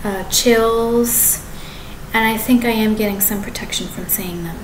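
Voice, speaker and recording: Woman in her thirties, rambling webcam monologue, stop-start phrasing, roomy echo, steady background hiss. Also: medium pitch. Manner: halting, many restarts and self-corrections. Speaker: vlogger. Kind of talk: rambling direct-to-camera monologue. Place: apartment living room with kitchen behind. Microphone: webcam at desk distance. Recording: echoey room with background noise.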